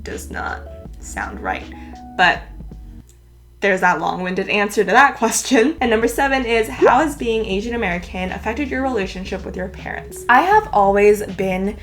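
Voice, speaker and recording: A woman's voice: medium pitch, big pitch swings, normal speed, a bit bright, faint music behind. A young woman from California, Cantonese her first language, chatting casually through tag questions in a vlog, with many "like"s. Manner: chatty, filler-heavy, lots of "like". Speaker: YouTuber.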